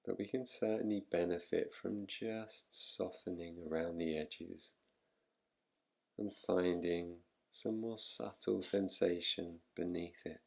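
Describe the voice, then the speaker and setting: A man guiding a relaxation meditation in a soothing, unhurried manner, leaving long pauses between short phrases. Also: sits low, steady tone, creaky, a little mellow. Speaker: meditation guide. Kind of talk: guided relaxation meditation, yoga nidra.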